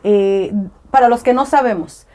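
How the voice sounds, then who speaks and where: A woman's voice: fairly high pitch, a little slow, darkish tone. Sympathetic female podcast host. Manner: attentive, sympathetic questioning. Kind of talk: interviewing a guest.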